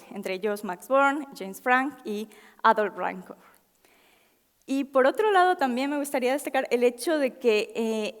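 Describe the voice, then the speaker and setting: Young woman in a reverberant hall. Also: fairly high pitch. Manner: earnest, frequent filler pauses. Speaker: scientist giving a talk. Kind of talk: public talk to an audience. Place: lecture hall.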